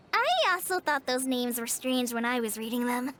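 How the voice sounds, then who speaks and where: A very high, timid young student. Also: female voice, lively intonation, unhurried, fairly bright tone, slight background noise. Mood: nervous, polite. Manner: hesitant, nervous, apologetic. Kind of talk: anime video game voice acting.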